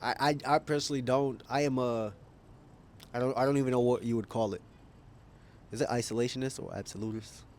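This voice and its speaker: A man's voice: mid-range voice, a little mellow, slight background noise. A man making a casual opinion vlog as a YouTuber, rambling, thinking aloud and correcting himself, with frequent chuckles. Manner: chuckles often while talking.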